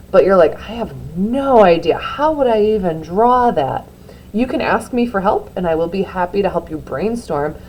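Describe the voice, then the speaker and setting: A woman teacher talks her class through a sketching assignment, relaxed and encouraging, with plenty of filler words along the way. Her voice sits at a middle pitch. A faint hum of room noise runs behind her. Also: low-quality recording. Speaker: art teacher. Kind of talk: classroom instruction video.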